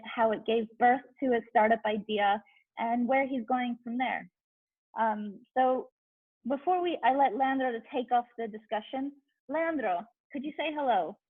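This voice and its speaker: A woman's voice: on the high side, breathy edge, dry recording. A woman hosting an online talk, giving a friendly, scripted introduction over a video call. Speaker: host of a club talk series. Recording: tinny phone-like call audio.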